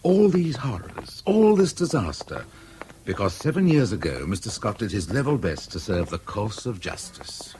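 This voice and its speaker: Deep-voiced, wry police inspector. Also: male voice, sing-song, normal speed, mellow, slight background noise, rough recording. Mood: wry sympathy. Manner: courteous, wry. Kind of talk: BBC radio drama acting.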